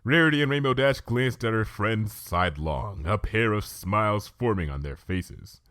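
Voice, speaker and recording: A man's voice: lowish voice, expressive, normal speed, fairly bright tone, no room sound, studio quality. A man reading a scripted story aloud as its narrator.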